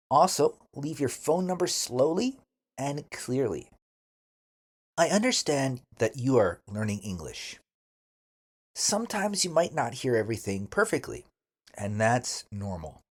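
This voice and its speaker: Male language instructor, husky voice, slow and deliberate delivery with careful enunciation for learners. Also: mid-range voice. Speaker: English teacher. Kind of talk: scripted language lesson with model phrases to repeat.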